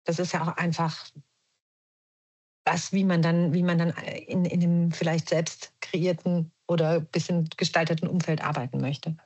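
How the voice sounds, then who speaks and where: A woman's voice: on the low side, level tone, moderate pace, darkish tone, slightly rough, dull audio. A woman with a German accent, a podcast guest speaking reflectively with many restarts and fillers. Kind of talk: reflective conversational podcast talk.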